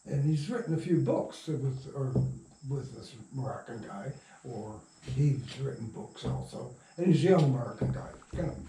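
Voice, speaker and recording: An older man telling stories in a casual interview, halting and meandering, often trailing off mid-thought. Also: mid-range voice, mellow, a little hiss or hum. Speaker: interviewed radio play writer and producer. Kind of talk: rambling casual interview storytelling. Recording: roomy, distant from the mic.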